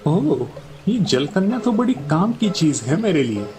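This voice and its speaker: A man's voice: high voice, very animated, a little slow. Man playing a scheming magician, music behind. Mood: greedy scheming. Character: scheming greedy male village magician and showman. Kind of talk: Hindi cartoon moral story dialogue.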